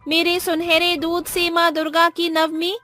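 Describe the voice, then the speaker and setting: A magical golden cow speaks in a cartoon moral tale, played by a woman. The voice is extremely high and takes its time over each line. The cow is kindly and grateful, over faint music. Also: monotone, measured pace, pushed and bright, exaggerated voice. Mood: grateful, benevolent. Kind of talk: animated moral story voice acting.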